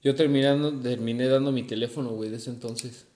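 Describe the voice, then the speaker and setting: A man's voice: medium pitch, steady tone, fast talker, darkish tone. A young man with a Mexican accent hosting a casual chat over drinks, swearing and slangy. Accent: Mexican Spanish. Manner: slangy, swears a lot, says güey constantly. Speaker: podcast host. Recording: echoey room.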